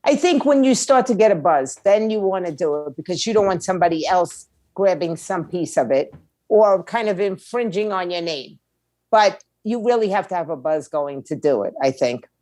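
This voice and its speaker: A woman's voice: lowish voice, animated, normal speed, warm tone, no echo. A woman speaking as a guest expert in an interview, explaining things in a plain-spoken, emphatic, conversational way. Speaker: guest, music attorney and indie label owner.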